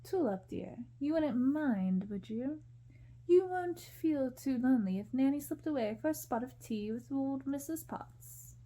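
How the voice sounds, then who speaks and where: A woman's voice: sits high, lively intonation, a little slow, dark tone. A woman voicing a kindly old nanny in a storybook, doting, sly and coaxing.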